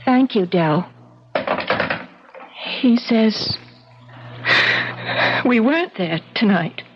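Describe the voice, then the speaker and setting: A woman's voice: a little high, animated, extremely slow, husky, exaggerated voice. An actress playing a distraught, insistent woman who fears for her sanity, in an emotional radio mystery style. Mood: anxious. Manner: emphatic, emotional, dramatic. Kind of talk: old-time radio mystery acting. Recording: dull vintage radio drama recording.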